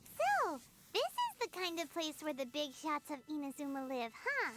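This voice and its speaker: A woman voicing a tiny, excitable childlike fairy companion in a video game, squeaky and exclamatory. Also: moderate pace, heavy background noise. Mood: curious. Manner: speaks of self in third person, exclamatory. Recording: game music behind.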